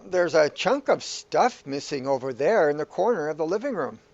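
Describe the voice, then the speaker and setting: A man's voice: fairly high pitch, sing-song, normal speed, vocal fry, exaggerated voice. A man playing a befuddled, questioning hero in a radio play, with dull lo-fi sound. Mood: puzzled. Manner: puzzled, questioning. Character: befuddled bornless hero, questioning his unreal surroundings. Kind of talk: amateur radio play acting. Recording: dull lo-fi audio.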